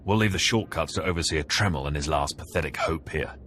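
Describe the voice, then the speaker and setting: An actor playing a smug, proud rival Sith acolyte in a video game, menacing in a controlled, condescending, taunting way. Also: male voice, very deep voice, a little fast, a bit bright, a little hiss or hum.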